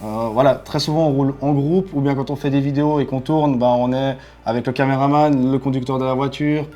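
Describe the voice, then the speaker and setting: A man's voice: medium pitch, little pitch movement, brisk, warm tone. A man co-hosting a motorcycle channel, giving a casual and enthusiastic product review. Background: background music.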